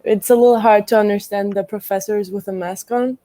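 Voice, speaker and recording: A woman's voice: mid-range voice, fairly even intonation, fairly quick, dark tone, no room sound. Hesitant young woman from Greece. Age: young adult. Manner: hesitant, many ums, laughs often. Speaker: college volleyball player. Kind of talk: casual interview answers.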